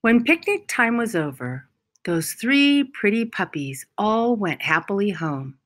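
A woman reads a picture book aloud to children in a lively, swooping voice at a slow pace. Her phrasing is deliberate and expressive, leaning on key words, with a mid-range pitch. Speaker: school storyteller reading a picture book aloud. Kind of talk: children's storybook read-aloud.

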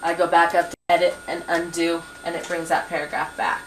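A young woman patiently teaching word processing at a desk computer, with many pauses and ums, recorded on a 1990s camcorder in a small home office. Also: on the low side, little pitch movement. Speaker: computer instructor. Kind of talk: hands-on software tutorial. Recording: 1990s camcorder with faint hiss.

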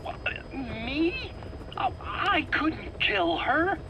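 A nervous captive scientist in a game drama, his voice very high and his delivery slow and halting. He stammers defensively and pleads with self-pity. A clear vehicle rumble sits behind the voice. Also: big pitch swings, exaggerated voice. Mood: panicked denial. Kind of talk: dramatic video game voice acting.

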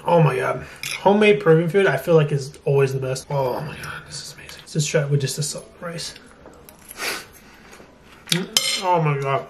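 A man in his twenties talks casually to the camera as a food vlogger. His voice sits a little high for a man. The handheld recording has clear background noise. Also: moderate pace, long pauses. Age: 20s. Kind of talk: casual chat and food review. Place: restaurant, home kitchen, car, bedroom. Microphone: camera at arm's length. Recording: noisy handheld camera audio.

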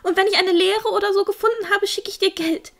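A woman's voice: very high-pitched, steady tone, fairly quick, creaky, a bit bright, full character voice. A woman playing an earnest, affectionate teenage village girl in a fantasy audio drama, eager and heartfelt. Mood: grateful, emotional.

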